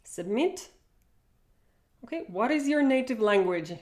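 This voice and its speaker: A woman's voice: fairly high pitch, expressive, measured pace, darkish tone. A woman in her forties with a Russian accent, teaching a live online language lesson from home in a friendly, chatty way with plenty of fillers. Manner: friendly, chatty, laughs often, many fillers. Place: home room with bookshelves. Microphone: wired earphone mic.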